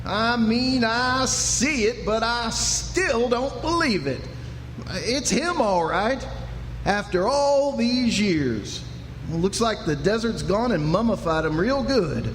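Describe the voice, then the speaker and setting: Folksy American frontier sheriff, kindly, drawling, fairly high voice, radio play, echoey live hall. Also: male voice, animated, takes it slowly, fairly bright tone, slight background noise, full character voice. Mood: stunned. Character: folksy, kindly, patient Old West territorial sheriff. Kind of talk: radio drama acting before an audience.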